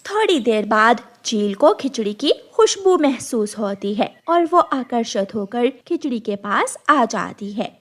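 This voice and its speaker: A woman reads scripted narration for a children's cartoon tale. Her voice sits fairly high, and she tells the story slowly. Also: very animated.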